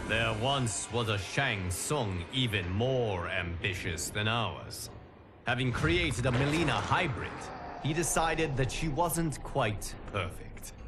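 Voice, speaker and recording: An actor playing a solemn guardian of timelines, narrating a video game story in a formal, measured, dramatic manner. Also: male voice, mid-range voice, animated. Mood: grave, ominous. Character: solemn male guardian of timelines addressing his champions. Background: music.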